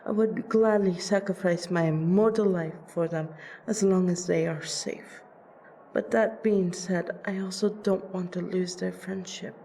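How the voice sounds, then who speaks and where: A woman voicing a proud, protective female vampire princess in an audio roleplay, with wry, deliberate, theatrical phrasing. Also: on the low side, steady tone, creaky, warm tone, slight background noise, low-quality recording. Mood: solemn, devoted. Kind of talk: ASMR audio roleplay acting.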